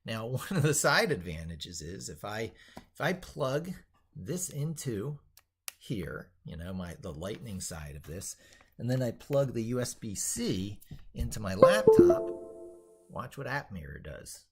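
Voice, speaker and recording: A man's voice: fairly low pitch, very animated, unhurried, breathy edge. A man hosting a casual live screen-share stream, rambling and thinking aloud as he demonstrates things into a microphone. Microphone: speaking into a microphone.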